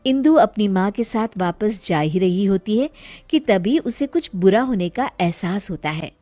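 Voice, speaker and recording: A woman tells a scripted moral tale as narrator. She speaks slowly and close up, without room echo. Music plays underneath. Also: mid-range voice, animated, mellow. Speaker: storyteller, narrator.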